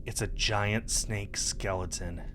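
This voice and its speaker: Low-voiced man narrating a visual novel. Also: steady tone, slow, sharp tone, a little hiss or hum. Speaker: streamer. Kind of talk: scripted narration of a visual novel, read aloud. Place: indoor streaming setup. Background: game music.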